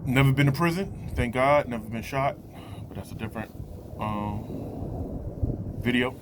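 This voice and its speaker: A man's voice: mid-range voice, measured pace, background noise. A man in his late thirties talking casually and off the cuff to viewers, using colloquial street slang. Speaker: vlogger giving a personal testimony. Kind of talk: casual unscripted personal talk to viewers.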